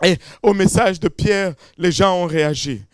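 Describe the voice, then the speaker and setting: A man from Congo preaching a Pentecostal sermon, fervent, with frequent alleluias and shouted climaxes. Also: high-pitched, moderate pace, clean tone, no room sound, studio quality. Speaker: pastor.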